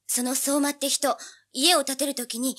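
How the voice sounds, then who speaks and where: A woman's voice: high-pitched, level tone, moderate pace, fairly bright tone. An actress playing a spirited teenage girl sidekick in an audio drama, talking in a pert, casual way. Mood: gossipy. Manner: pert, questioning, casual girlish talk. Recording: music under the voice.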